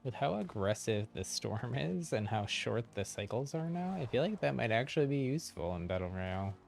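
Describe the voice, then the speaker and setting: A man's voice: medium pitch, very animated, fairly quick, vocal fry, darkish tone, a little hiss or hum. A man giving casual live gameplay commentary as a streamer, thinking aloud in an easygoing way. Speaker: game streamer. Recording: game music behind the voice.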